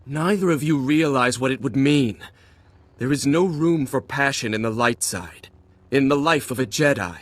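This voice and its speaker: A man's voice: medium pitch, expressive, normal speed, no room sound, close to an everyday voice. An actor voicing a stern, righteous middle-aged male warrior monk in a video game, speaking formally and earnestly. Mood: conflicted, solemn. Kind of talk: dramatic video game cutscene acting.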